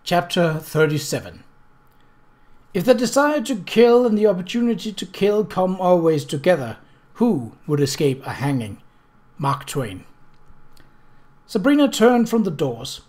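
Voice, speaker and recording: A man reading fiction aloud as a narrator, in a deliberate, dramatic manner. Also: sits high, sing-song, darkish tone. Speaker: audiobook reader.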